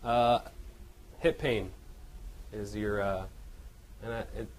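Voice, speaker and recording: A man presenting radiology cases as a teaching lecture, halting and often correcting himself, with questions to his listeners. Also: lowish voice, steady tone. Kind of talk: medical case lecture with questions to the audience. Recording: noisy live lecture room recording.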